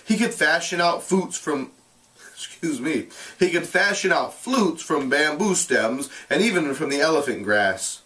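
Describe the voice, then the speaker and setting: A man reading aloud like a teacher, in an expressive narrating style with deliberate, explanatory delivery. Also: on the high side, distant mic.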